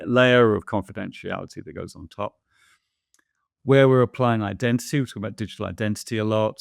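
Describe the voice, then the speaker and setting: Gravelly low man, thoughtful and hesitant. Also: dry recording, clean studio sound. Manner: thoughtful, hesitant, many fillers and restarts. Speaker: guest answering viewer questions. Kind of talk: casual conversational interview.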